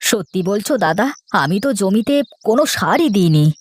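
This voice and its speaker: A woman's voice: mid-range voice, animated, moderate pace, close to an everyday voice. A woman voicing an earnest, trusting young farm boy in a children's cartoon. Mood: pleading. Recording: faint noise with bird chirps.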